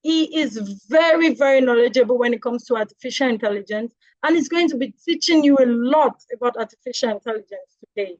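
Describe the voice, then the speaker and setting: A woman's voice: on the high side, fairly quick, hardly any pauses, no echo. A woman hosting an online kids' webinar, cheerfully introducing the tutor and talking straight to the children. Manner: enthusiastic, addresses children directly. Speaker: webinar host. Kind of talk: upbeat introduction to a kids' class. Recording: dull, dry video-call audio.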